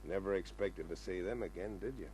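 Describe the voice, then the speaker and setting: Man as a low, gruff Australian police sergeant. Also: brisk, a little mellow, close to an everyday voice. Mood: grave. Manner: terse, dry. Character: gruff, world-weary male police sergeant. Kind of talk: 1950s radio crime drama acting. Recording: noisy old radio recording with hum.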